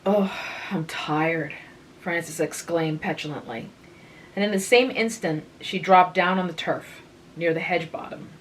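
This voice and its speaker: A woman reading a short story aloud in a calm, unhurried way, hosting her own channel. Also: on the low side, slight background noise, poor audio. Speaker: reader and channel host.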